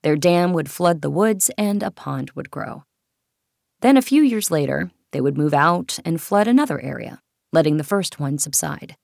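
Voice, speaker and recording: A woman's voice: low-pitched, animated, normal speed, creaky, darkish tone, no echo, clean studio sound. A woman reading literary nonfiction as a scripted audiobook narration.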